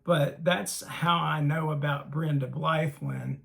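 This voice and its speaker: A man hosting a casual film collection review, talking haltingly with frequent pauses and fillers. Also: a little high, steady tone, gravelly, fairly bright tone. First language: English. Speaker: host.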